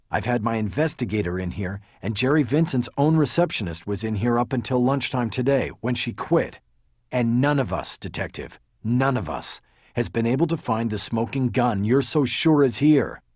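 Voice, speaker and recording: A man voicing a defiant, sharp-tongued defense lawyer, indignant and emphatic, in an audiobook crime novel. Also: mid-range voice, slightly rough, no room sound, clean studio sound, pitched above the performer's own voice.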